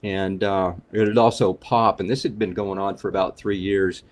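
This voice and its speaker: Halting man on a video call, low voice. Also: fairly even intonation. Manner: halting, frequent restarts and fillers. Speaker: caller sharing a testimonial. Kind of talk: casual testimonial on a video call.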